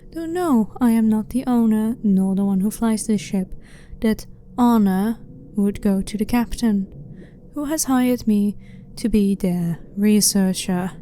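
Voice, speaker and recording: A woman acts out a female alien researcher in a sci-fi ASMR roleplay, with a dark, relaxed tone at a middle pitch. She is blunt and clinical but curious and unthreatening, speaking softly and formally to the listener. Noticeable ambient noise sits behind the voice. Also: natural voice. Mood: matter-of-fact. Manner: blunt, clinical, matter-of-fact, slightly formal. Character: blunt, curious female alien scientist, calm and not hostile. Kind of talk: ASMR roleplay, soft-spoken monologue to the listener.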